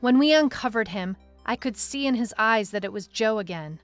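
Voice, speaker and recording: A woman's voice: a little high, animated, moderate pace, no room sound, faint music behind, lightly acted. A woman playing a determined detective who narrates her own case in a scripted audio drama. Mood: urgent. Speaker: lead narrator.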